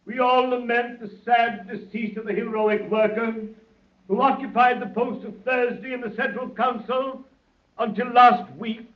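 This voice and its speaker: An actor playing an impassioned anarchist orator, solemn and rhetorical, in a 1930s radio drama. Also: male voice, very high voice, steady tone, measured pace. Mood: solemn, formal. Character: impassioned anarchist orator addressing his secret brotherhood. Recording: muffled old 1930s radio recording.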